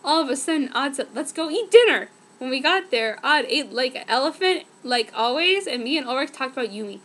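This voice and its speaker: A woman's voice: sits high, lively intonation, fairly quick, pushed and bright. A woman giving a dramatic, mock-earnest reading of fan fiction as a narrator. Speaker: reader.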